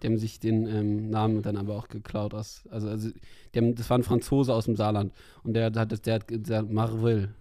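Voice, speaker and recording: Young man, podcast co-host, casual chat, rambling, many fillers, mellow tone, dry sound. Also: on the low side, monotone, brisk, few pauses, no room sound. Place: in Paris, remote from co-host.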